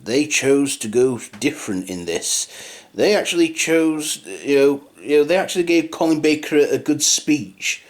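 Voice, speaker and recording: A man's voice: fairly high pitch, animated, normal speed. A man giving an unscripted fan review in a rambling, hesitant way, often trailing off and starting again. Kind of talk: unscripted audio drama review.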